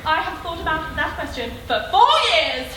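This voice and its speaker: A woman plays an obsessive superfan, high and swooping, gushing in excitable repetitions. It is amateur theatre, caught from far off in a very echoey room. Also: normal speed. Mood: besotted. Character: obsessive, hysterical female superfan, besotted and pushy. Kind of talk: amateur stage play acting. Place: indoor stage in a large room. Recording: echoey hall from far off, audience noise.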